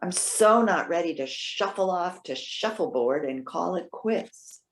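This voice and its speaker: A woman's voice: fairly low pitch, animated, a little slow, a little hiss or hum. A woman playing a proud, witty elderly retired social worker in readers theater, articulate, wry and pointed. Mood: indignant. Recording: roomy echo.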